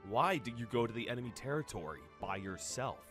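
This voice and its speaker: A man's voice: mid-range voice, lively intonation, fairly quick, a bit bright, faint music behind, close to an everyday voice. A man playing a severe, formal officer who scolds, in a radio drama. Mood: stern, reproving. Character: stern, scolding male officer. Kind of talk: fan radio drama acting.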